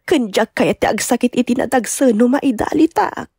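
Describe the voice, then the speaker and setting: A woman with an Ilocano accent acting a caring elderly mother in an audio drama, gentle and earnest. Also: a little high, normal speed, breathy edge, no echo, clean studio sound. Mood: sorrowful, earnest.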